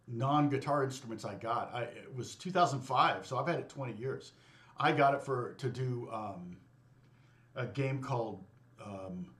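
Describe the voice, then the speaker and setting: Rambling guitar teacher, mid pitch. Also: male voice, a bit bright. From United States. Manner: rambling, self-interrupting, quick to laugh at himself. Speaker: guitar teacher and channel host chatting with live viewers. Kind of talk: casual livestream chat.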